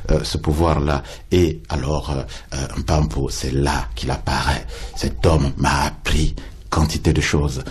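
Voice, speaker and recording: Man, very deep voice, husky, lyrical unhurried phrasing, poet interview guest. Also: a little mellow. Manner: slow, lyrical, repeats words while searching. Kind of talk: reflective radio interview.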